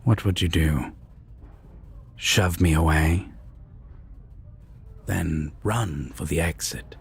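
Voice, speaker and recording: A man playing a sinister, possessive vampire villain in a roleplay audio drama, taunting and deliberate with a breathy, gravelly half-whisper. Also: very deep voice, full character voice. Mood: cold taunting. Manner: slow, deliberate, taunting, with long pauses.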